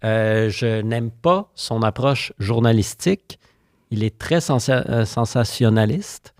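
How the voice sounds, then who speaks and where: A man's voice: mid-range voice, slow pace, a little mellow, dry recording, clean studio sound. A man with a Quebec French accent hosting a casual humorous podcast, speaking in an informal, joking and hesitant way. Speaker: podcast host.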